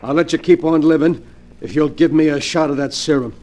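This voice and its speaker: A man's voice: on the high side, steady tone, a little fast, darkish tone, lightly acted. An actor playing a ruthless, hunted male crook in a 1940s radio drama, talking in a hard-boiled gangster way. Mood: menacing.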